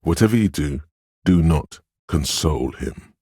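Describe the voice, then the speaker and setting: A man voicing a game's empathetic inner voice, a perceptive and tender narrator with a husky, very deep voice and measured, literary delivery. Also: expressive, mellow, dry recording, clean studio sound, heavily acted. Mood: sympathetic, knowing. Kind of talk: scripted game narration.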